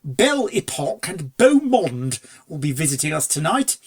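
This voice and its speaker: A reader voicing a suave upper-class gentleman stallion in a storybook, with a posh, drawling, breezy manner and old-fashioned phrasing. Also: medium pitch, very animated, moderate pace. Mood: musing, genial. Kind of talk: storybook character dialogue.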